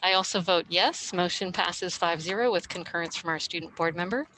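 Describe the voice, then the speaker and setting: A woman chairing a school board meeting on a video call, calling the roll and announcing the result in a brisk, procedural way. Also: fairly low pitch, pushed and bright, slight background noise, low-quality recording. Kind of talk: formal roll-call voting.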